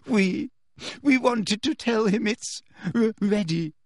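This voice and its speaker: Man voicing a high, pleading teenage schoolgirl. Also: lively intonation, a little mellow, slightly rough, no echo, clean studio sound, exaggerated voice. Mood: wailing. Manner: pleading, fretful, halting. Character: earnest teenage schoolgirl, anxious, pleading, tearful.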